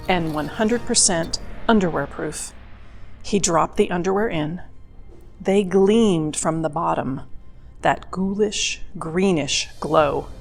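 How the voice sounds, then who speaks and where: Dramatic woman storyteller with creaky edge. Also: fairly low pitch, a little mellow. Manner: dramatic, stretches spooky words slowly. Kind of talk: expressive children's picture book read-aloud. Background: background music.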